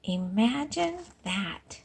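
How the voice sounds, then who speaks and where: A woman teacher reading a storybook aloud and talking to young children, expressive, with questions and pauses for them. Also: mid-range voice, creaky, slightly rough. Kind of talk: animated storybook read-aloud and lesson.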